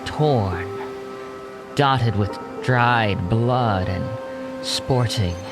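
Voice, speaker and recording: A brooding male storyteller played by an actor narrates a vampire audio drama at a very slow pace. His lowish voice places short, deliberate phrases with suspense over loud music. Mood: suspenseful.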